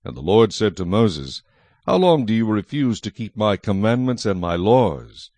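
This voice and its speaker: Male narrator, fairly low voice, widely varied intonation, solemn scripture reading, dry close sound. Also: big pitch swings, normal speed, a little mellow, no echo.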